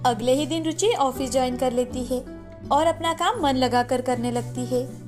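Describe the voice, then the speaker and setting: A woman's voice: high-pitched, normal speed, music in the background. A woman narrator reading a scripted moral story for children.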